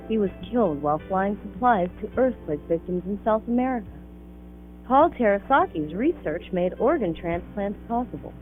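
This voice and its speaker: A woman acts as an earnest youngster in an old classroom film. The delivery is explanatory and sincere, at a middle pitch. The sound is thin and tinny, like a worn 16mm print, with music underneath. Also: close to an everyday voice. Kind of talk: educational film dialogue.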